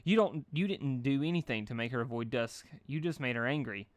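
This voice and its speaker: Man voicing a cynical dark pony girl. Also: medium pitch, a little fast, no room sound, close to an everyday voice. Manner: cynical, argumentative.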